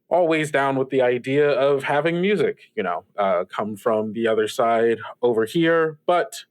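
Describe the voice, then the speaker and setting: A man's voice: mid-range voice, natural voice. A man playing an easygoing, supportive radio DJ who talks with callers in a conversational, reassuring way. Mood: upbeat, musing. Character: easygoing, supportive male radio DJ in a strange world. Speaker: radio call-in show host. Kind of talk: improvised radio show acting.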